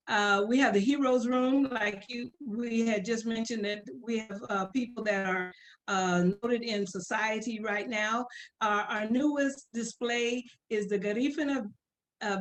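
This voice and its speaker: A woman with a slightly high voice talks about her community's museum exhibits. She speaks hesitantly and informally, filling her pauses with "um" and "uh" as she searches for words. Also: rough recording. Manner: hesitant, many fillers. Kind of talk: informal guest talk.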